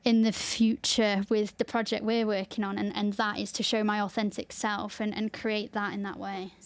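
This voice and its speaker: A woman's voice: medium pitch, little pitch movement, moderate pace, no room sound. A woman with a Lancashire accent, hosting a podcast in open, chatty talk and laughing easily. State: tired after a 24-hour stream. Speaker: podcast host. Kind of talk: casual chat.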